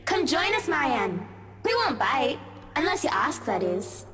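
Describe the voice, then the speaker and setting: A woman's voice: sits high, sing-song, unhurried, a bit bright, heavily acted. A woman voicing an eerie, coquettish lady who lures and teases in a visual novel game. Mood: teasing, sinister. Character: eerie coquettish woman luring someone in a nightmare.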